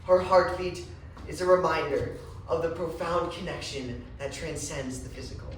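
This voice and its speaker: A woman's voice: low-pitched, moderate pace, natural voice. A woman playing a reflective, grateful mother in a dramatic stage monologue. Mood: reverent. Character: reflective, grateful mother living with donated heart. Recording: very echoey hall, distant, faint noise.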